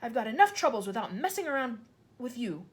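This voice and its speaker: A woman voicing a gruff, bullying middle-aged father who snaps and barks orders. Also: a little high, big pitch swings, a little fast, pitched above the performer's own voice. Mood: barking. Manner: snapping, barking orders.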